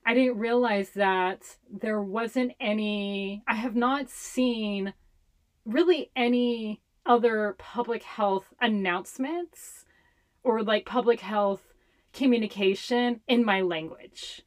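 A woman's voice: on the high side, slow. Young Hawaiian-accented woman, Pidgin first language, chatty interview guest who laughs easily. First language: Hawaiian Pidgin English. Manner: chatty, laughs often while talking. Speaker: public health communicator, guest. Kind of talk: casual interview talk.

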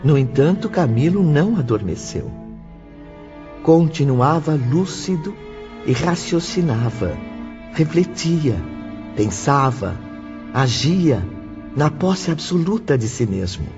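Slow, solemn male radio drama narrator. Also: a little high, very animated. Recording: muffled audio with music behind.

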